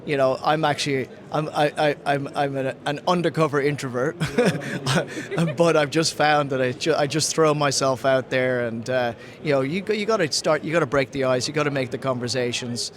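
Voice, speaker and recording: A man's voice: medium pitch, moderate pace. A man with an Irish accent, who grew up in Ghana, giving a casual interview and often restarting his sentences as he searches for words. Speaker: interview guest, sells to mining industry. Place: trade conference floor. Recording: busy conference crowd behind.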